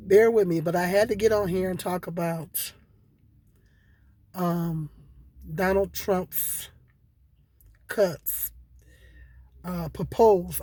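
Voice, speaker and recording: A hoarse woman with a cold, talking casually and rambling to her viewers with many repeats and fillers. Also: on the low side, mellow, no echo. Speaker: vlogger. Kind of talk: casual solo commentary.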